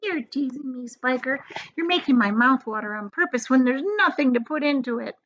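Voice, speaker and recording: A woman's voice: high voice, lively intonation, moderate pace, fairly bright tone, muffled recording, voice pushed up. A woman voicing a fat, greedy storybook aunt, bossy and scornful, in a demanding, exclamatory way. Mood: scornful disbelief.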